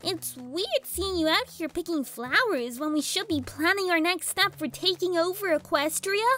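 A woman voicing a bratty, scheming little filly villain in a cartoon story, squeaky and indignant. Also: moderate pace. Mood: annoyed. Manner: indignant, exasperated, shouting. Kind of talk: cartoon fan fiction voice acting.

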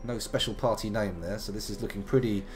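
A man in his thirties, gravelly from a slight cold, chatting playfully through a live game stream. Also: lowish voice, fairly even intonation, fast talker, faint music behind. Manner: chatty, playful, wandering asides. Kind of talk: casual live game commentary.

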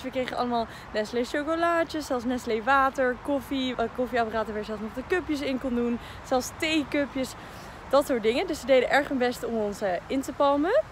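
A woman's voice: a little high, slight background noise. Chatty young woman vlogging outdoors. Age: 20s. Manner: chatty, thinking aloud with fillers. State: out of breath after running. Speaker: vlogger, master's student. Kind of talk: casual vlog talk to camera. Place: outdoors on a path by trees. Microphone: phone at arm's length.

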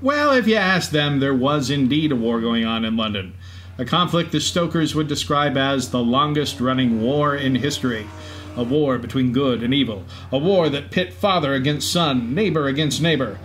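A man's voice: mid-range voice, expressive, normal speed, a bit bright, lightly acted. A man co-hosting a podcast, telling stories in light scripted banter. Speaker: podcast co-host. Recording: clear background noise and ambience.

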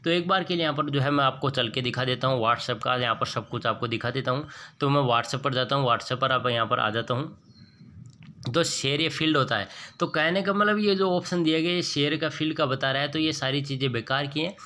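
A man narrates a phone screen recording in an even, mid-range voice. He explains each step at length, circling back with repeated filler phrases. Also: mid-range voice, a little fast. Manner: repetitive filler-heavy explaining, steady. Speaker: app reviewer. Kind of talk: screen-recorded app walkthrough.

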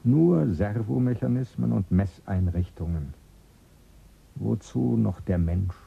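Skeptical official, low husky voice, gravelly, dull old radio sound. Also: male voice, slow, exaggerated voice. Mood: probing. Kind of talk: 1970s German radio drama.

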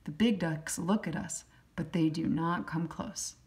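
A woman reading a children's picture book aloud as a teacher, in simple, deliberate short phrases. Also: deep voice, a little mellow. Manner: acts out sound effects. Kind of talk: children's storybook read-aloud.

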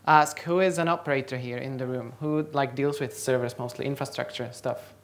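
A man's voice: medium pitch, steady tone, a little fast. A young man from the Czech Republic, a native Czech speaker, giving a conference talk in a lecture hall and quizzing the audience. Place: large lecture hall, on stage.

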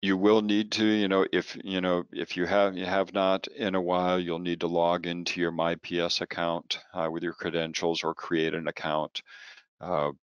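A man presenting software training as a product trainer, informal and halting, often repeating words as he explains. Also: deep voice, level tone, smooth, no echo, lo-fi sound.

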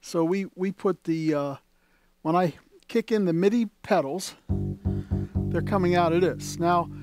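A man's voice: high voice, measured pace, darkish tone. A man demonstrating an organ in a shop, talking casually with many fillers and restarts. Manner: rambling, full of fillers and restarts. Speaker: organ dealer demonstrating an instrument. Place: indoors at an organ console.

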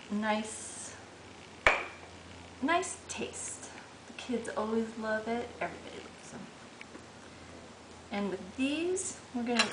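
A woman's voice: fairly high pitch, background noise. Chatty woman in an echoey kitchen. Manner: chatty, upbeat, talks while working dough. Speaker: cooking show host. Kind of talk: casual cooking demonstration. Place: home kitchen, indoors.

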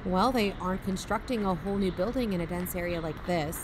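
A woman playing an earnest investigative podcast host, narrating in a composed, measured way. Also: fairly low pitch, noisy background, natural voice. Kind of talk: scripted narration in audio drama.

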